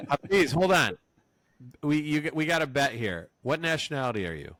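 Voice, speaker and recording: A man's voice: a little high, lively intonation, normal speed, a bit bright, no room sound. A man from Cincinnati co-hosting a podcast, teasing and joking in loose banter. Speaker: podcast co-host.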